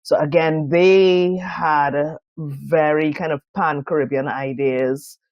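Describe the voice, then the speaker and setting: A woman's voice: deep voice, steady tone, dry recording, highs cut off. Thoughtful Trinidadian woman in her fifties. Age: 50s. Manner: thoughtful, many pauses and fillers. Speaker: guest designer. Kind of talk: reflective podcast interview.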